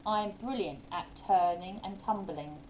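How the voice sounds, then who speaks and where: A woman's voice: mid-range voice, unhurried, darkish tone, highs cut off, a little hiss or hum, close to an everyday voice. A woman voicing a friendly, confident male owl celebrity in a storybook, speaking articulately. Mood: friendly, proud.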